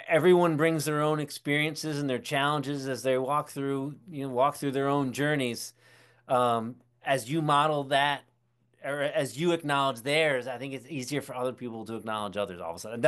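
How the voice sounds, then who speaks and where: A man's voice: medium pitch, a bit bright. A man co-hosting a podcast in casual chat, supportive and affirming. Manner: supportive, with frequent affirming interjections. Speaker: podcast co-host.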